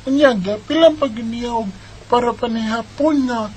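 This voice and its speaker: A woman voicing an earnest young boy who gently looks after his family, in a homemade radio drama. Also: a little high, normal speed, lightly acted, rough recording. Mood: cheerful. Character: earnest young boy, caring older brother.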